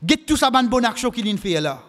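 A man's voice: very high voice, sing-song, normal speed, fairly bright tone. A middle-aged man with a Mauritian Creole accent preaching a sermon, exhorting with impassioned repetition and quoting Arabic. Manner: impassioned, exhortative, repeating phrases for emphasis. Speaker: preacher giving a Friday khutbah. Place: mosque, indoors at the pulpit. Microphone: microphone on a stand, close. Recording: echoey hall with long reverb.

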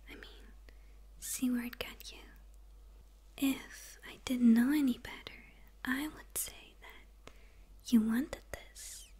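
A woman's voice: high voice, darkish tone, full character voice. Woman whispering as a gloating demon villainess. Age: young adult. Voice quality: breathy whisper.

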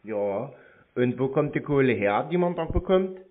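Man, medium pitch, casual caller, hesitant rambling talk, narrow telephone sound. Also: lively intonation, normal speed. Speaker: prank caller posing as a customer. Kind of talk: casual phone conversation. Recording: muffled narrow-band phone line.

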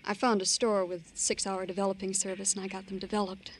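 An actress playing a prim, earnest American tourist witness in an old-time radio crime drama. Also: female voice, mid-range voice, flat delivery, fast talker, lightly acted, poor audio. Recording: old radio recording, faint noise.

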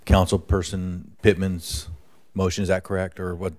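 A man with a low, fairly monotone voice talks through procedure at a council meeting. He is courteous and formal, speaking from the dais in an indoor chamber. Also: moderate pace. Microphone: dais microphone.

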